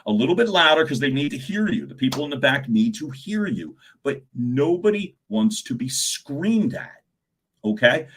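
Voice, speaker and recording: Loud, animated male speaking coach. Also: normal speed, husky. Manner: animated, swings pitch and volume, chuckles often. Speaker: communication coach hosting a live stream. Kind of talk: live-streamed coaching talk.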